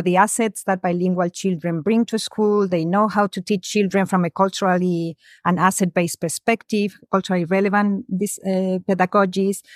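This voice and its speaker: A woman from Spain, a researcher answering interview questions in a measured, thoughtful way, pausing often. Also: lowish voice, no echo, studio quality. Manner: measured, thoughtful, frequent "um" pauses. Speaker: guest, education researcher.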